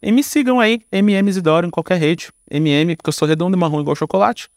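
Brazilian man, higher-pitched voice, close dry sound. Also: fast, no room sound. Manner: informal, slangy, thinking aloud. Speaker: podcast guest, filmmaker and screenwriter. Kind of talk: casual chat.

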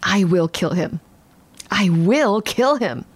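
A woman voicing a cruel, deadly serpent in a bedtime storybook reading, speaking slow, menacing threats. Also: medium pitch, animated, husky.

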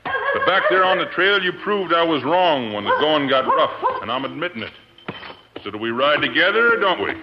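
An actor playing a folksy, hearty wagon master in rustic speech, in 1950s radio western style on a muffled, noisy old recording. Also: male voice, fairly high pitch, very animated, moderate pace. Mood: frank.

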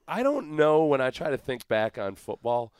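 A man in his forties talks casually as a podcast host and interviewer. His voice is smooth and clear, with a close, dry sound and very little room around it. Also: medium pitch, very animated, moderate pace, darkish tone, dry recording. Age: 40s. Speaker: former professional football player. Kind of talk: casual chat.

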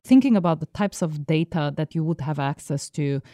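A woman co-hosting a podcast, making measured, reasoned points in casual discussion. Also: deep voice, expressive, mellow, no room sound, clean studio sound. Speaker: podcast co-host.

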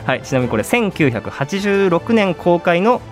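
A man's voice: high voice, very animated, moderate pace. A man chatting casually as a radio co-host, enthusiastically recommending a film in polite, conversational speech. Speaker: podcast co-host presenting his movie ranking. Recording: dry studio with faint background music. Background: faint background music.

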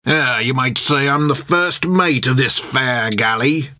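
A male narrator acts a rough first mate from a seafaring audiobook. He taunts and jeers in a rustic old-salt dialect, sly and threatening. The recording is dry and close. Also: medium pitch, a little slow, sharp tone, dry recording. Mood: sly taunting.

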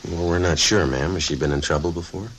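An actor playing a methodical, polite police detective in a 1950s radio drama, speaking in a deadpan, clipped, factual way. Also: male voice, low voice, fast, slight background noise. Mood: polite, probing. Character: male police detective, methodical, polite, matter-of-fact narrator. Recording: vintage radio broadcast recording.

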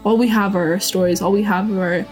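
A woman from Seattle talking in a casual, animated interview, with many fillers. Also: medium pitch, a little fast, music in the background. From Seattle, United States.

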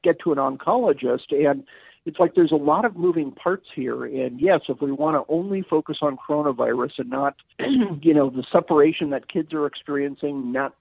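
Male phone-in guest, slightly high voice, tinny line, dry self-deprecating wit. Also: creaky, breathy edge, no room sound. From Upper Peninsula of Michigan. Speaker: guest commentator, editor. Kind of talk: phone-in interview.

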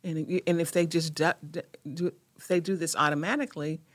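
A woman, a guest representing a foundation, speaking in quick, halting bursts with frequent restarts. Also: low voice, steady tone, no room sound, studio quality. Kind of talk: casual interview conversation.

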